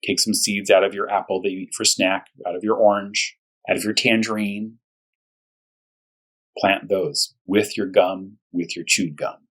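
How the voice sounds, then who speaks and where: A male primary school teacher with a slightly low, rough-edged husky voice leads a storytime for young children. He speaks in plain, short phrases and often repeats words so that little listeners can keep up. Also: little pitch movement, a little slow, a bit bright, low-quality recording. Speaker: first grade teacher reading aloud. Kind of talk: storytime read-aloud and discussion for young children.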